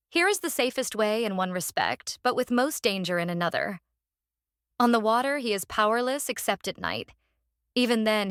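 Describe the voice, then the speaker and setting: An earnest, devoted young wife voiced by a woman reads from her diary in an audiobook drama. She speaks carefully and deliberately, as if reasoning things out, in a dry, intimate recording at a middling pitch. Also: fairly bright tone, smooth, no room sound, natural voice.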